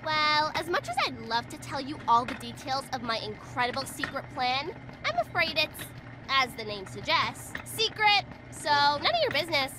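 A woman voicing a smug, mischievous girl villain in a children's animated game, with a mocking, theatrical delivery. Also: high voice, moderate pace, background noise. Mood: smug, sarcastic. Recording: loud music under the voice.

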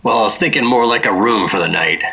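An actor playing a wary, terse 1950s secret agent in a noir radio drama, with a deadpan, clipped delivery. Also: male voice, on the low side, quick pace, breathy edge, exaggerated voice.

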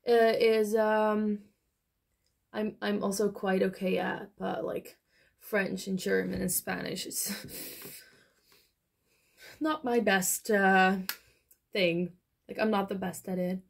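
A woman's voice: medium pitch, fairly even intonation, takes it slowly, creaky, long pauses. A young woman chatting casually through a makeup tutorial, rambling with many fillers. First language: Dutch. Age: young adult. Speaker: beauty vlogger. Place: small bedroom, indoors.

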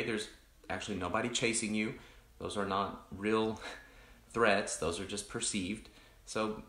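A man, a pastor casually chatting with online viewers in a rambling, reflective way, his voice deepened by allergies. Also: fairly bright tone, roomy sound. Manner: rambling, many restarts and fillers, greets viewers by name. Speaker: pastor hosting an online coffee chat.

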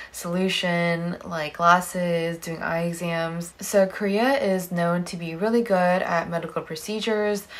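A young adult woman, chatting casually as a vlogger. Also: lowish voice. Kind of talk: casual vlog chat. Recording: music laid loudly under the voice. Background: music.

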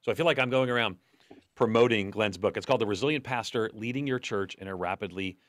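A man's voice: fairly low pitch, fast, no echo. A man in his fifties hosting a podcast interview in a studio, talking in a loose, conversational way with restarts. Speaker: podcast host. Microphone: desk boom mic.

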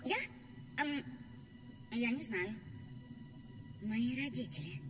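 A woman voicing a cheerful, forgetful blue fish in an animated film dub, chatting eagerly and rambling. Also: on the high side, takes it slowly, far from the mic, music in the background. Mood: flustered, chatty.

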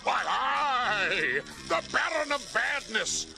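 A man playing a bombastic, furious mad-scientist villain in a cartoon, bellowing pompously in a gravelly voice. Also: very high voice, very animated, slow pace, full character voice. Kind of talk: exaggerated cartoon villain acting. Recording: loud music behind, old cartoon soundtrack.

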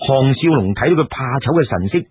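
Very husky Cantonese male storyteller. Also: medium pitch, animated, fairly quick, no echo. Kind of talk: expressive scripted Cantonese story narration. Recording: lo-fi, narrow phone-like radio sound.

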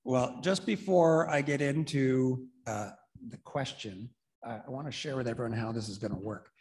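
A man in his thirties, moderating a conference panel and explaining how audience questions will work, in a relaxed, joking way. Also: mid-range voice, moderate pace. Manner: casual and joking. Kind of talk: event instructions. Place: conference room with large window. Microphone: handheld mic.

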